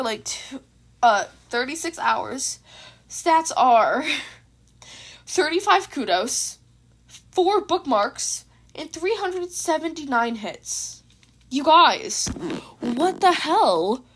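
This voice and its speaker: A young woman with a high voice reads a fan story aloud. Her delivery is expressive and informal, like an amateur podfic narrator talking straight to listeners. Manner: informal, sweary. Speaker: fan fiction writer and narrator.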